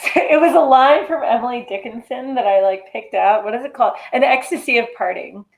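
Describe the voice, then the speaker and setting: A woman's voice: fairly high pitch, fairly quick, vocal fry. A woman writer chatting casually as an interview guest, informal and peppering her talk with "like".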